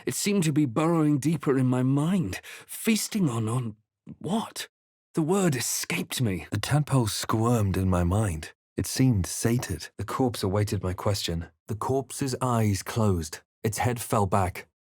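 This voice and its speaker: An actor playing a brooding male fantasy adventurer who recounts his journey in a grave, measured, theatrical way for a video game. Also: medium pitch, lively intonation, a little mellow, slightly rough, dry recording, clean studio sound. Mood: tense, haunted. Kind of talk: scripted dramatic narration and game character barks.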